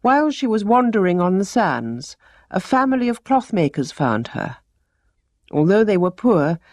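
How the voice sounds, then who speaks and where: A woman narrating a traditional teaching tale as a storyteller, with measured storybook delivery. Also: medium pitch, dark tone, dry recording, studio quality. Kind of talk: scripted narration of teaching tales.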